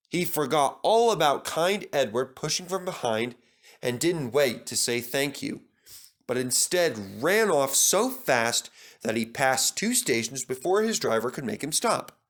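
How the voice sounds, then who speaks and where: Male storybook narrator, middle pitch, very animated intonation, unhurried delivery. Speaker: storybook reader. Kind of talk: scripted children's story narration.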